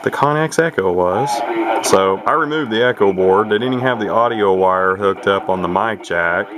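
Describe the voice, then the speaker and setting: Male hobbyist, very low register, matter-of-fact and rambling demo talk over clearly audible noise. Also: moderate pace. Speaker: radio hobbyist demonstrating a repaired CB radio. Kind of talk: casual unscripted demonstration talk.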